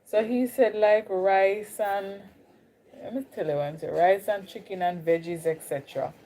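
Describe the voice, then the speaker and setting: A woman's voice: mid-range voice, fairly even intonation, normal speed, mellow, poor audio. A young woman with a Jamaican accent, chatting casually with viewers on a live stream. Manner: chatty, greets viewers, drifts between Caribbean accents. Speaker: livestream host.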